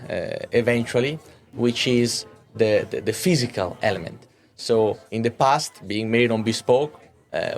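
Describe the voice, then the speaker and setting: Halting thirty-year-old man in an interview. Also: lowish voice, gravelly. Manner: halting, often repeats words. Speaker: watch brand executive being interviewed. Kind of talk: interview answers.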